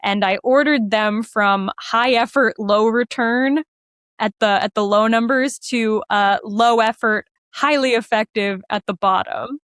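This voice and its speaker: A woman chatting casually as a podcast guest, playful and animated in the way she talks. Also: on the high side, measured pace, clear, resonant tone, no echo, clean studio sound. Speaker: podcast guest, musical theater writer and performer. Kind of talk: casual interview chat.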